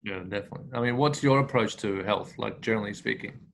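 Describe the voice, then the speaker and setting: A man's voice: lowish voice, fast talker, creaky, low-quality recording. A man hosting a casual interview, asking questions hesitantly with frequent fillers. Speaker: podcast host, interviewer.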